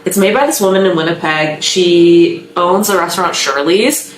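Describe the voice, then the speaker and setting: A young woman talks casually to her viewers, her voice a little low and a bit stuffed up from a sore throat. She rambles from one aside to the next in an upbeat, chatty way. The room around her is echoey, with a faint hum of ambient noise behind her. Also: normal speed. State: sniffly, slightly sore throat. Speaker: vlogger. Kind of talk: casual chat to camera.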